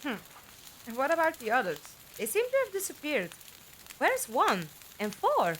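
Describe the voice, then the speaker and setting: Bright, high-pitched, defiant young heroine played by a woman. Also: wildly swooping pitch, moderate pace, full character voice, poor audio. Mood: subdued, doubtful. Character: defiant young woman, the Doctor's companion Five. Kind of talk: audio drama acting. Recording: background ambience noise.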